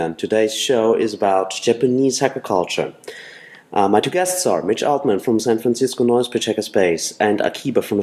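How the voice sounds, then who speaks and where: A man with a low voice opens his podcast as host. He reads a steady, scripted introduction at an even pace. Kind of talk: scripted intro then interview.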